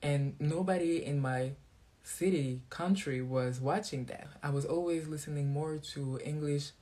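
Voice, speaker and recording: A man's voice: on the high side, measured pace, mellow. A man from Belgium, a native French speaker talking in English, giving a sassy, defiant monologue to his viewers. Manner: sassy, defiant, throws shade. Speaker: vlogger.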